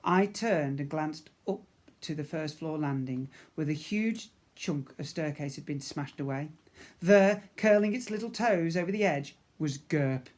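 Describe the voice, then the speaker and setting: A man narrates a children's storybook. His delivery is expressive and clearly aimed at young listeners, like a teacher reading to a class. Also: a little high, unhurried.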